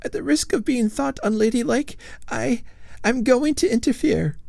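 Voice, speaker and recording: Man doing a high wailing old ghost lady, fretful, close dry mic. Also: moderate pace, gravelly, darkish tone, no room sound.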